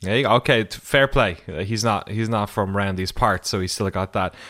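Lower-voiced man, joking podcast co-host. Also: a little fast, fairly bright tone. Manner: joking, laughs often. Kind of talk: casual chat.

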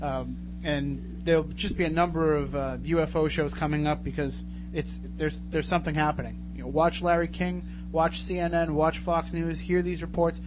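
Male radio host, slightly high voice, chatty and jokey, noisy live broadcast with hum. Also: fairly even intonation, moderate pace. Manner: joking, bantering. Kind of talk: casual live radio talk. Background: clear noise and hum.

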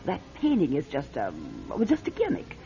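An actress playing a weary, aging fortune-teller in a radio drama, theatrical and hesitant, with wheedling endearments. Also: female voice, lowish voice, huge pitch swings, moderate pace, dark tone, faint music behind. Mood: coaxing. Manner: theatrical, hesitant, wheedling, calls people "my dear". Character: weary, shabby, aging female fortune-teller fond of her cat. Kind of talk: classic radio drama acting. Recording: muffled old radio drama recording.

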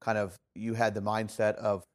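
Guy interviewing his old student, lowish voice, lots of restarts and "yeah"s. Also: level tone. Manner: agreeable, frequent backchannels, halting restarts. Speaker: interviewer, former college teacher. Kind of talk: conversational interview.

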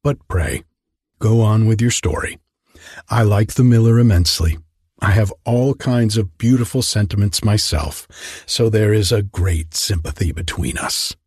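Brief: pompous storybook water rat, lecturing and smug, husky, voiced by a man reading. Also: on the low side, moderate pace, darkish tone, dry recording, studio quality. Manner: self-satisfied, lecturing.

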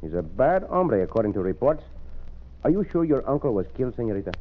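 Thin vintage radio sound; courtly, commanding Mexican gunslinger hero, accented, rapid, animated delivery. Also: male voice, medium pitch, narrow, tinny audio. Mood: resolute, gallant. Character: gallant Mexican cowboy hero, resolute adult man. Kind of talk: old-time radio western acting. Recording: thin band-limited old radio recording.

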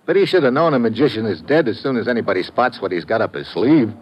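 An actor with an American accent playing a tough, wry secret agent, terse and hard-boiled, in a 1950s radio adventure. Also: male voice, medium pitch, lively intonation, quick pace, lightly acted. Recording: muffled, noisy vintage radio recording.

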